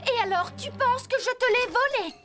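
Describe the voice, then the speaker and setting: An indignant cartoon girl with a very high voice. She speaks emphatically and defensively, protesting as she goes, with clear music playing underneath. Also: moderate pace, fairly bright tone. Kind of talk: dubbed animated series acting.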